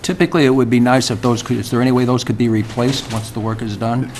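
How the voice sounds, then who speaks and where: A man chairing a conservation commission meeting, speaking in a measured, polite, procedural way. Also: mid-range voice, steady tone, darkish tone. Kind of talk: public meeting discussion. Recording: noisy municipal TV broadcast.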